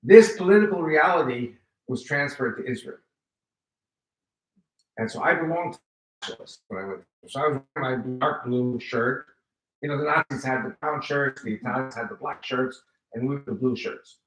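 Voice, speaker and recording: A man in his seventies, American-born with Spanish as his first language, giving an animated personal testimony to a live audience and acting out quoted dialogue. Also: mid-range voice, moderate pace, far from the mic. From United States. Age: 70s. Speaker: guest speaker giving testimony. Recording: roomy hall, voice picked up from afar.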